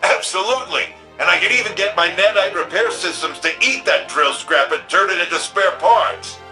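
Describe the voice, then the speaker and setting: An actor playing a protective, plain-spoken repair engineer in a futuristic video game, brisk and folksy. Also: male voice, high voice, music in the background, low-quality recording. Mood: relieved, keen. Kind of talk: video game radio dialogue.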